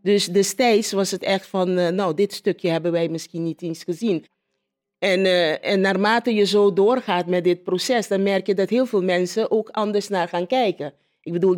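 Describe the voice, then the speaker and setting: A woman giving a spontaneous personal account in an interview, haltingly, repeating phrases as she searches for words. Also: medium pitch, darkish tone. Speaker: interviewee, local politician.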